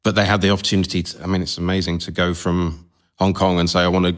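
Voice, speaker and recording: A man's voice: low-pitched, flat delivery, fast talker. A man hosting a podcast, asking questions in a conversational way and often affirming with short replies. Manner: encouraging, frequent short affirmations. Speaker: podcast host. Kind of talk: conversational interview.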